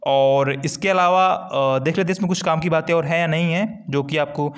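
Higher-pitched man explaining informally. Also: steady tone, normal speed, smooth. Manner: conversational, repeats key points for listeners. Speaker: exam-preparation video host. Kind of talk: informal explainer, walking through a document. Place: screen-recorded voice-over.

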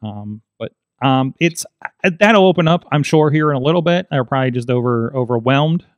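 A man's voice: mid-range voice, animated, brisk, dry recording, dull audio. A man in his forties hosting a tech podcast, chatting casually with lots of fillers and restarts into a studio mic. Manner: rambling, many fillers and restarts. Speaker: podcast host. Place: small studio with foam panels. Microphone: studio mic on boom arm, headphones.

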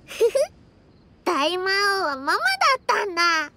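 A woman playing a cheerful, innocent and excitable little girl in an anime game, talking in simple childlike exclamations. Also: very high voice, measured pace, exaggerated voice. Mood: happy, devoted. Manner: childlike, refers to herself by name, simple exclamations. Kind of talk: Japanese anime game voice acting.

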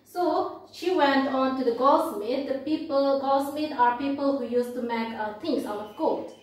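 Young woman, Indian accent, high voice, teacher explaining a lesson, halting and repetitive, heavily echoing classroom. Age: young adult. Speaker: English teacher. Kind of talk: classroom lesson, explaining and reading a poem aloud. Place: indoor classroom at a blackboard. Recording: very echoey room, distant microphone.

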